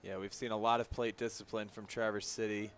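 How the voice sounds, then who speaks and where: A man's voice: lowish voice, level tone, fairly quick, slight background noise. A man giving conversational, explanatory color commentary on a live baseball radio broadcast. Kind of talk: live sports analysis.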